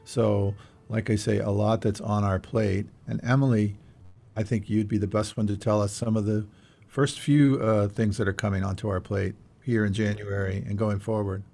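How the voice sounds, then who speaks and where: A man's voice: on the low side, flat delivery, moderate pace, creaky, darkish tone. A man hosting a podcast, chatting casually with his co-hosts. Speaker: podcast host.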